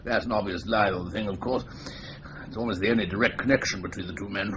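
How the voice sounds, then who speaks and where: An actor playing a senior police officer briefing an inspector, in a clipped, brisk radio drama manner. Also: male voice, fairly low pitch, fairly even intonation, noisy background, lightly acted. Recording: dull lo-fi radio recording.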